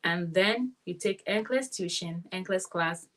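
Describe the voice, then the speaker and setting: Ghanaian nurse lady in her 30s, lowish voice, confident, explains stuff as a guest. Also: a little fast, fairly bright tone. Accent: West African, Ghanaian. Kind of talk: interview, explaining.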